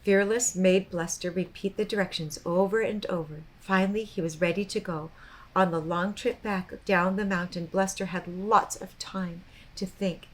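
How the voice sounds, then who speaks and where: A woman's voice: fairly low pitch, moderate pace, low-quality recording. Woman reading a children's story aloud. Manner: expressive, child-directed storytelling with asides.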